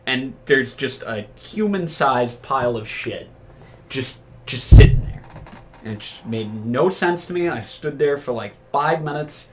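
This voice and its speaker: A man's voice: mid-range voice, animated, unhurried, slight background noise. A man telling casual anecdotes among friends in a rambling, profane, incredulous way. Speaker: storyteller.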